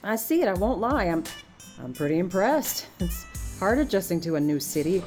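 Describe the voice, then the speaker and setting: A woman's voice: fairly low pitch, sing-song, normal speed, darkish tone, faint music behind. An actress playing a blunt, sarcastic young woman who loves to party, in a naturalistic audio drama. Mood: skeptical. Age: young adult. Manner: sarcastic, teasing.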